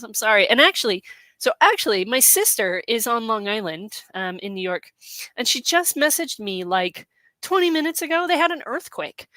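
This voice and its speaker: A middle-aged woman hosting a casual livestream, a little hoarse from allergies, chatting with lots of fillers as she reads out viewer comments. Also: mid-range voice, bright, forceful tone, no echo. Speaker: host. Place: home in Colorado.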